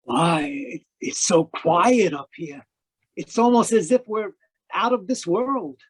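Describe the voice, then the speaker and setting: A man's voice: sits high, lively intonation, slow, slightly rough, full character voice. An actor playing a timid, deferential young male assistant in an old-time radio play, hesitant and obedient. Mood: meek, eager.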